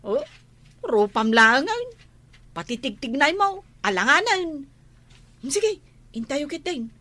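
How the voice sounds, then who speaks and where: A woman's voice: high-pitched, very animated, slow, sharp tone, no echo, heavily acted. A woman playing a protective, pleading housemaid, a big-sister figure, exclaiming plaintively in a radio comedy-drama. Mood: scolding, protective.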